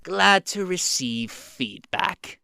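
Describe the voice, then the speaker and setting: A man's voice: high voice, animated, slow, creaky, bright, forceful tone, clean tone, dry recording, heavily acted. A man playing a smug captured traitor. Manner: smug, sneering.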